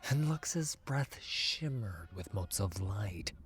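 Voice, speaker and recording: A man narrating a fantasy story in an intense, dramatic scripted style, dropping at times into hushed tones. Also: fairly low pitch, sing-song, slow pace, husky. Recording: music under the voice. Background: music.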